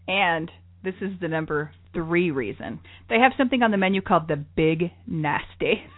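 A bubbly, chatty woman co-hosting a travel talk show, laughing often as she talks. Her voice sits on the low side. The sound is thin and tinny, like a phone line. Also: lively intonation, moderate pace. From lived in New York City. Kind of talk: casual chat.

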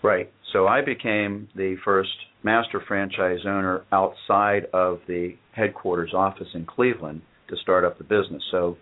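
A low-voiced businessman answers interview questions in a measured, matter-of-fact way, often pausing on "uh" as he explains. The recording is narrow and tinny, like a phone call. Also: steady tone. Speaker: company owner and guest.

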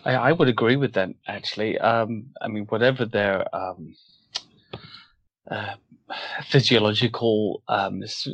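A man who grew up in Wales, without a Welsh accent, talking as a guest in a casual interview and pausing thoughtfully to find his words. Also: lowish voice, fairly even intonation, fairly bright tone, no room sound. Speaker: guest author. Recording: dull, dry lo-fi audio.

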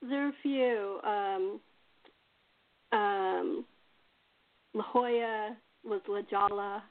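A woman from California telling family anecdotes in casual chat, with a halting, conversational delivery. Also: mid-range voice, steady tone. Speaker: radio playwright in a cast chat. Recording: thin phone-like call audio.

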